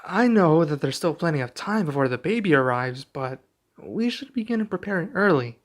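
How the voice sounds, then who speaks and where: A man playing a young king and doting expectant father in an intimate ASMR roleplay, speaking softly and affectionately with some hesitation. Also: fairly high pitch, animated, moderate pace. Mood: awed, grateful. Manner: soft, affectionate, hesitant delivery.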